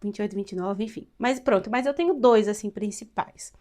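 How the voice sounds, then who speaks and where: A woman's voice: medium pitch, fairly quick, mellow. A woman in her late twenties from São Paulo with a São Paulo accent, a teacher giving viewers chatty, encouraging advice in a casual vlog. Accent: São Paulo Brazilian Portuguese. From São Paulo, Brazil. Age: late 20s. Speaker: vlogger and Portuguese teacher.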